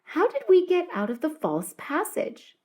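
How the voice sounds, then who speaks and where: Woman voicing worried young storybook boy, raised pitch. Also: measured pace, heavily acted. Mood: urgent, puzzled. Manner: earnest, trying to sound calm.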